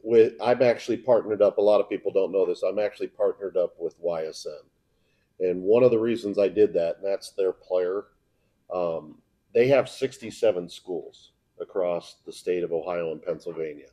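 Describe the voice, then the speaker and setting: An American man, a local broadcaster, talking informally and answering questions in a plainspoken, halting way. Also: low-pitched, dark tone. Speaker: local sports broadcaster and video producer.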